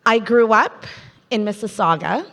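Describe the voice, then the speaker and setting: A woman's voice: on the high side, unhurried, a bit bright. A Canadian woman hosting a live business event as MC, chatty and playful as she draws the audience in over a stage microphone. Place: large conference hall. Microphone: handheld stage microphone. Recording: reverberant conference hall.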